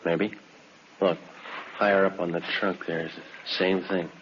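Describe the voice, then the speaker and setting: Casting: methodical police detective for a vintage radio procedural. Deep, understated, terse delivery on a muffled old recording. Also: male voice, flat delivery, measured pace, fairly bright tone, slight background noise. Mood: terse, watchful. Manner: clipped, understated, matter-of-fact. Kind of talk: 1950s radio police drama acting.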